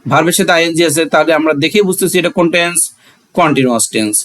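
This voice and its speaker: A man's voice: fairly high pitch, animated, rapid-fire, a bit bright. A man with a Bangladeshi accent teaching a grammar lesson, asking questions and answering them himself, repeating phrases for emphasis.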